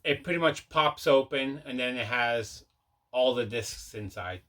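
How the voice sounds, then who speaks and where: A man's voice: mid-range voice, a little slow, a bit bright. A man hosting a casual unboxing vlog, chatting enthusiastically and often repeating himself. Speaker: host of a collecting video show.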